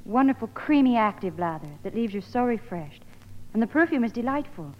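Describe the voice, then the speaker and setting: A woman's voice: on the high side, expressive, a little fast. A young American woman, a guest actress reading scripted promotional chat on vintage radio. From Hollywood, California. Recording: muffled old radio broadcast, long hall reverb.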